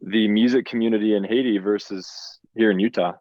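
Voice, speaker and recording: A fairly low-voiced man hosts the interview, keen and stumbling over repeated words as he frames each question. The sound is dry and dull, with a lo-fi edge. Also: fairly even intonation, a little fast, a little mellow, no echo. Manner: eager, repeats words as he starts. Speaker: podcast host.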